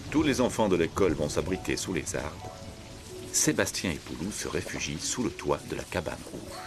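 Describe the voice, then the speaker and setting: A man narrating a scripted children's story in measured, simple sentences. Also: low-pitched, expressive, over music.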